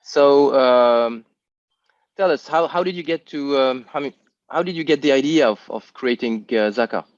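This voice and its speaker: A man's voice: medium pitch, moderate pace, no echo. Hesitant male interviewer, dry dull sound. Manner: hesitant questions with many fillers, affirming praise. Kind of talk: interview questions.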